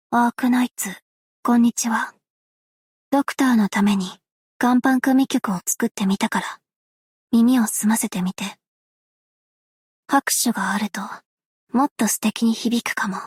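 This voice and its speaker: An actress playing a gentle, self-effacing young woman in a video game, soft and whispery, with a hushed, measured, wistful delivery. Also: on the high side, husky, no echo, studio quality. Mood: gentle, friendly. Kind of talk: game character voice lines.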